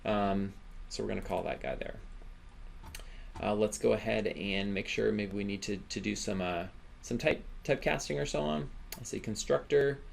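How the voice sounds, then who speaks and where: Male instructor, low register with noticeable vocal fry, informal screencast teaching, talks through each step aloud. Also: normal speed, slight background noise. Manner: casual, informal explaining, often ends phrases with "right?". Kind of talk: software screencast tutorial, thinking aloud while coding.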